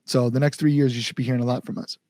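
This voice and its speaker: A man's voice: medium pitch, steady tone, racing, a little mellow, slight background noise. An American man speaking as an interviewed band front-man, casual and earnest, with informal phrasing. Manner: earnest, informal with mild swearing.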